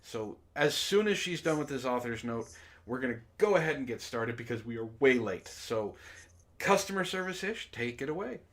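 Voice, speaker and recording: Guy hosting a book club stream, really animated, teasing, chats and reads out loud kinda theatrical. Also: mid-range voice, moderate pace. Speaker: host of a group book-reading show. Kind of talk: casual chat and reading aloud to an audience.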